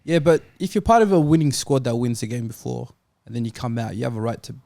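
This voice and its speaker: Male football panelist, creaky voice, dry sound, casual debating style. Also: mid-range voice, fast talker, warm tone, dry recording. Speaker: football talk show panelist.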